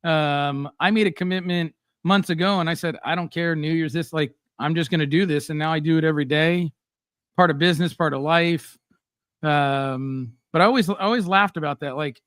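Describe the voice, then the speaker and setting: A middle-aged man hosting a casual live-stream chat, telling blunt, animated stories full of fillers. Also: fairly high pitch, fairly quick, no room sound, clean studio sound. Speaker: host.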